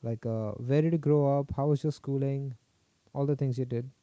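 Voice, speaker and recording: A man's voice: medium pitch, steady tone, normal speed, warm tone, no room sound. A man hosting a casual podcast interview, asking questions with many hesitant fillers. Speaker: podcast host. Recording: dull lo-fi sound.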